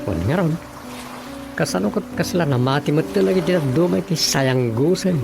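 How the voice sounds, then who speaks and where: A village man from a Filipino radio drama, with an Ilocano accent. His voice sits high for a man, and music plays prominently underneath. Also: normal speed, vocal fry. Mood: concerned. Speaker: radio drama narrator and actor. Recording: music bed behind the voice.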